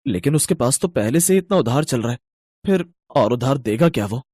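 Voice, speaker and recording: A man's voice: medium pitch, animated, fairly quick, a little mellow, dry recording, studio quality, natural voice. A man voicing an earnest, troubled businessman husband in a cartoon moral story, with a dramatic, plaintive manner. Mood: worried.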